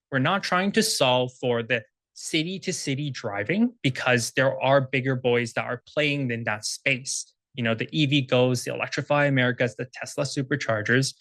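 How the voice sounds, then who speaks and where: A man answers a question from the floor at a panel, laying out technical details in quick, fluent run-on sentences. His voice sits mid-range and sounds dry and close, with little room sound. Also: mid-range voice, a bit bright, dry recording. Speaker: startup executive presenting. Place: conference room with audience.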